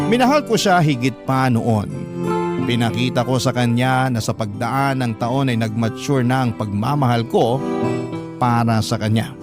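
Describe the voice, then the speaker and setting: An actor playing a devoted man who tells his love story in dramatic first-person radio narration, sentimental and measured. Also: medium pitch, natural voice. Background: prominent plucked guitar music.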